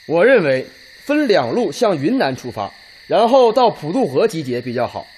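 A confident army officer in a radio drama. His high, animated voice lays out orders formally over faint night insects. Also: moderate pace, a little hiss or hum. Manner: formal military briefing.

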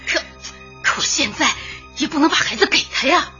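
An actress playing a stubborn but soft-hearted widowed mother in a Chinese radio drama, with a husky, emotional voice. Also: sits high, expressive, a little fast, muffled recording, over music. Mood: reluctant, softening. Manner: emotional, sighing.

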